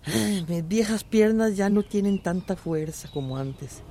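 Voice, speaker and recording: An old, tired countrywoman with a Central American accent, her voice creaky and mellow. She speaks with a lively rise and fall, caring and reflective, in a radio drama. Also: medium pitch, measured pace. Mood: weary. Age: older. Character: weary old countrywoman gathering fruit, caring and thoughtful.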